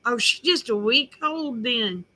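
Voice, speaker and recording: A woman's voice: a little high, lively intonation, unhurried, bright, forceful tone, poor audio. An older woman, rambling in a folksy, casual chat with her viewers. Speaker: vlogger.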